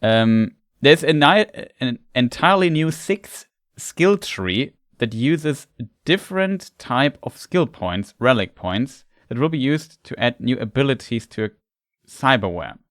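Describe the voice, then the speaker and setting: A man's voice: medium pitch, very animated, measured pace, a bit bright, no echo, studio quality. A young man with a German accent, a German native speaker, chatting casually with his stream chat and sometimes searching for words. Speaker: streamer reacting to a showcase.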